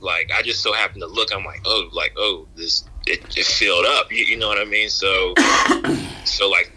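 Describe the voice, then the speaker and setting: Male podcast guest, lower register, sharp forceful tone, wry slangy storyteller who repeats himself haltingly. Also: low-quality recording. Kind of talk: casual anecdotal storytelling.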